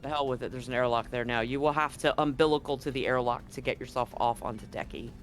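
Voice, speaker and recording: A man's voice: medium pitch, fast talker. A man working as game master, narrating a tabletop roleplaying game in a conversational, explanatory way. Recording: music playing under the voice. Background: background music.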